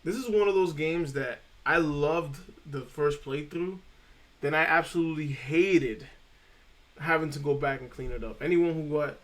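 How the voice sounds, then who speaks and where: A man's voice: on the high side, normal speed, rough recording. A man chatting casually on a live stream about game trophy lists, rambling and saying "freaking" often.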